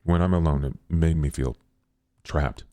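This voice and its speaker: A man voicing a naive, literal-minded newborn being, calmly aloof, with formal, measured phrasing in a tabletop game. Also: rumbling low, no echo. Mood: innocent, matter-of-fact.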